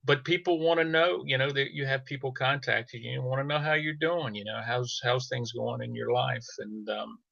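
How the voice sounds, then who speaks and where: A man in casual panel conversation as a podcast host, speaking haltingly and repeating words, with a self-deprecating manner, on slightly muffled audio. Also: medium pitch, fairly even intonation, creaky, a bit bright, no room sound.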